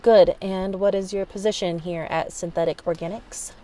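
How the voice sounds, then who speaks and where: A woman's voice: mid-range voice, steady tone, normal speed, dark tone, natural voice. A woman playing an investigative interviewer who records as danger unfolds, in an audio drama. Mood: probing.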